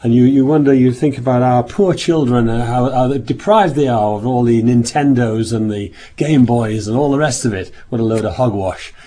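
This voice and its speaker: A man giving a sermon in a meeting room, speaking hoarsely as he nearly loses his voice, rambling with many restarts. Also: medium pitch, normal speed, hardly any pauses, dark tone. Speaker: minister. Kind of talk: sermon opening with travel account.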